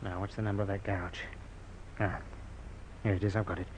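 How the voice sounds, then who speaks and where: A man playing a cocky, smooth-talking car thief and con man in an old radio crime drama, breezy and persuasive. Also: sits low, level tone, brisk, natural voice. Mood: scheming. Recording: faintly noisy old radio recording.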